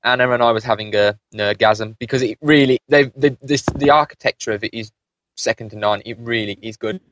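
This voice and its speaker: A British man chatting casually about video games as a podcast panelist, with a hesitant, halting delivery. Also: medium pitch, fairly even intonation, lo-fi sound, noisy background. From United Kingdom. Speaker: podcast panelist and game reviewer.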